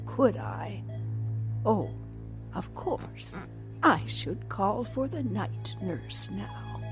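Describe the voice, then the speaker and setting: An elderly schemer in an audio drama, gloating with great patience. She speaks extremely slowly and deliberately, sometimes hushed to a whisper. Soft music sits behind her. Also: mid-range voice. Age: older. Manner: slow, deliberate, dropping into whispers. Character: vengeful, patient elderly woman quietly gloating over her victim.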